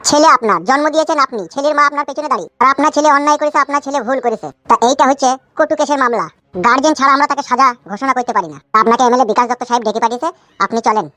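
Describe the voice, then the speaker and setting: A performer voicing a servile, anxious henchman in an animated comedy, obsequious and hurried. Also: high voice, no room sound. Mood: urging.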